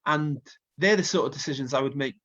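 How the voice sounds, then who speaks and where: A man's voice: mid-range voice, a little fast, a bit bright, lo-fi sound. A man with a Welsh accent and a slight cold, chatting casually and rambling with plenty of fillers as a festival booker being interviewed. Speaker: festival co-founder and music booker.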